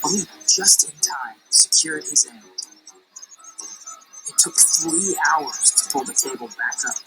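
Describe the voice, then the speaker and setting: A woman teacher reading a picture book aloud in an expressive storytelling voice, slowing down on dramatic phrases. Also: deep voice, rough recording. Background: music.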